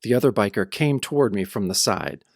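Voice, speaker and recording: A man playing a brooding, tough hero who narrates an audio drama in the first person with grim, deliberate delivery. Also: on the low side, expressive, creaky, dry recording, clean studio sound. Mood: grim, tense. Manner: grim, deliberate hardboiled storytelling. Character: brooding tough male hero recounting his nightmare. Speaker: narrator and protagonist.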